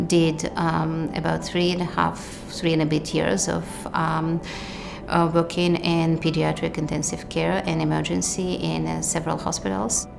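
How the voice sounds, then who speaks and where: A middle-aged woman from Ukraine with a Ukrainian accent, speaking in a measured documentary interview with hesitant fillers. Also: low voice, vocal fry. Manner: measured, thoughtful, hesitant fillers, non-native grammar. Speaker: physician and researcher.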